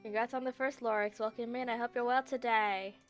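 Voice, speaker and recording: A woman's voice: on the high side, fairly even intonation, a little fast. A woman livestreaming a game, chatting casually in a laid-back, rambling, self-deprecating way. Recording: roomy echo, soft background music. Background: soft background music.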